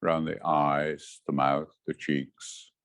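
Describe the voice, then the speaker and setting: A man with a low voice guides a meditation in short, measured phrases. He speaks very slowly and gently, leaving space between instructions. The sound is dry, with little room in it. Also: flat delivery, no echo. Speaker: meditation teacher.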